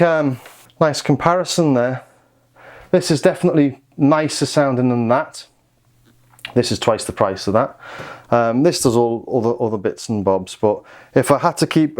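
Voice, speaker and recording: A man's voice: mid-range voice, a little fast, darkish tone. A man giving a casual hands-on music gear demo, thinking aloud in an offhand way. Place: home music studio.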